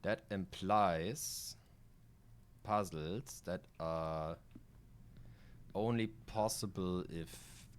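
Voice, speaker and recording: Man, German accent, native German speaker, low-pitched voice, live game streamer, casual rambling delivery, occasional swearing. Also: very slow, long pauses. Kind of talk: live gameplay commentary, reading game text aloud.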